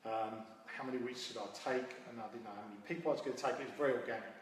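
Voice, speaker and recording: A man's voice: mid-range voice, fairly even intonation, very fast. A man, telling his story informally as a coach being interviewed. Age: 40s. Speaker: guest, MMA coach and founder. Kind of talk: casual interview answers. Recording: very echoey hall, distant mic, faint noise.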